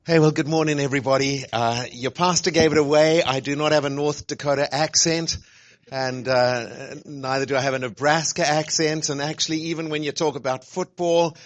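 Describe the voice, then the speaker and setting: Chatty higher-voiced man preaching. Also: steady tone, moderate pace. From grew up where rugby is played. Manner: chatty, joking, with many fillers. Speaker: guest speaker, college president. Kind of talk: informal sermon talk.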